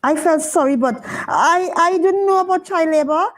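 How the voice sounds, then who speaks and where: A woman voicing Sheldon, a curious, soft-hearted Trinidadian schoolboy in a puppet show, full of questions and wows. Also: very high-pitched, moderate pace, faint music behind. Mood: worried. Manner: wide-eyed questions, lots of wows.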